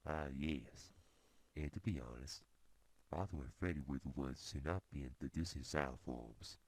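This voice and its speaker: A man voicing a gruff, food-stealing male forest creature in an amateur cartoon, explaining things slowly. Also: a bass voice, darkish tone. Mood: matter-of-fact.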